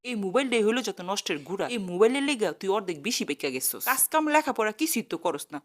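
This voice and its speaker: A woman's voice: mid-range voice, expressive, rapid-fire, close to an everyday voice. A woman with a Bangladeshi accent voicing an overworked, scolding middle-aged mother in a cartoon comedy. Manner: nagging, colloquial.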